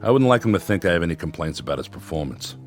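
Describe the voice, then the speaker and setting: An actor playing a steady, tactful army captain who is protective of his men, in a war radio drama. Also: low voice, faint music behind. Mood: careful, earnest. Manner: measured, tactful. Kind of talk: audio drama acting.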